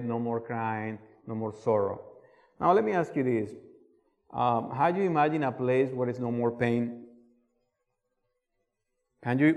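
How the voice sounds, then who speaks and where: A man's voice: mid-range voice, a little mellow. A man from Colombia with a Colombian Spanish accent, preaching a sermon and reading scripture, earnestly asking his listeners questions. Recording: long hall reverb.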